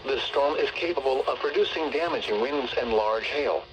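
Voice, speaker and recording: A synthetic male text-to-speech voice reading scripted emergency weather bulletins over the radio in a formal, measured way. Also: mid-range voice, music in the background, low-quality recording. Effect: weather radio broadcast. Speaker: automated weather radio announcer.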